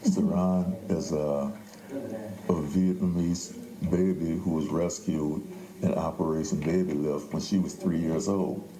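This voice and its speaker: A man's voice: a bass voice, fairly even intonation, measured pace, crowd noise. An older man, a playwright, telling stories in an interview conversation. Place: indoors at a theater. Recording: echoey room, faint background noise.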